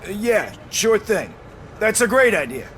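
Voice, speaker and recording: A man's voice: high-pitched, very animated, a little slow, fairly bright tone, a little hiss or hum, full character voice. An actor playing an uneasy, withdrawn policeman in a video game, with a hesitant, nervous way of talking. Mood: uneasy, polite.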